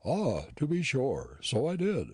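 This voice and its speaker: A man voicing a curt, disdainful gentleman dentist who talks down to his housekeeper. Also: mid-range voice, huge pitch swings, measured pace, slightly rough, dry recording, studio quality, pitched above the performer's own voice, full character voice. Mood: curt scornful. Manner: curt and scornful.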